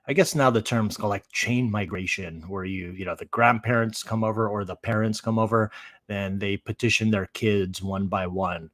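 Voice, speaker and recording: A man's voice: fairly low pitch, fairly bright tone, no room sound, clean studio sound. A middle-aged man with an American accent, an interview guest telling his story in a casual, rambling, wry way. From San Francisco Bay Area, USA.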